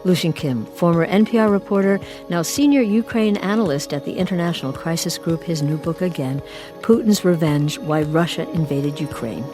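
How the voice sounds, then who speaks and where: A woman's voice: lowish voice, expressive, moderate pace, over music. A woman radio host asking brief, inquisitive questions and wrapping up the interview.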